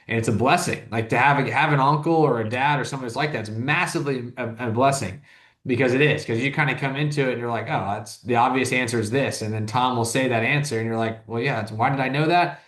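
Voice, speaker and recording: A man in his thirties, a business owner speaking as a guest, talks quickly and loosely. He rambles and circles back, with lots of "like" and restarted sentences. The room gives his voice a noticeable echo. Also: mid-range voice, a bit bright. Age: 30s. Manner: rambling, heavy use of "like", frequent restarts. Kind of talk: casual interview talk.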